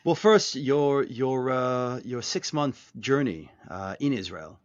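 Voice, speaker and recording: A man's voice: mid-range voice, expressive, takes it slowly, dull audio. A man hosting a podcast interview, often restarting his questions and repeating words. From Australia. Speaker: podcast host. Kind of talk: conversational interview.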